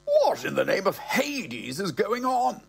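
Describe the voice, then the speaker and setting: A posh, elderly father as played by a male narrator, pompous and easily flustered. The voice sits high and swoops theatrically, with old-fashioned bluster suited to a comic audiobook. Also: wildly swooping pitch, a little slow, vocal fry, breathy edge, full character voice. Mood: indignant, flustered.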